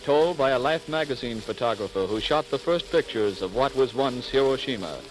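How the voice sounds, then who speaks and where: A man's voice: mid-range voice, animated, moderate pace. An American man introducing a 1940s radio program in a dramatic, declamatory announcer style. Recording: old crackly 1940s radio recording. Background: music.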